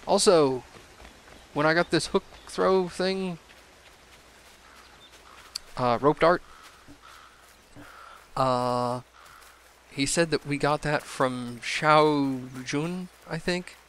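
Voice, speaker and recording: A young man commenting casually on a video game he is playing, his voice a little hoarse from a cold, rambling with self-deprecating asides. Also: on the high side, drawn-out words, pauses often, fairly bright tone. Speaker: let's play gamer.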